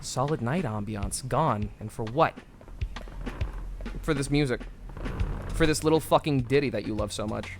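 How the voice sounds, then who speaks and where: A man gives voice to a sleep-starved young woman who grandly announces her descent into villainy. The delivery is theatrical and brooding, with soft music in the background. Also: mid-range voice, normal speed, pauses often, pitched below the performer's own voice, natural voice. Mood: melodramatic. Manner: melodramatic, menacing read of game text. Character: sleep-deprived young woman turned would-be killer.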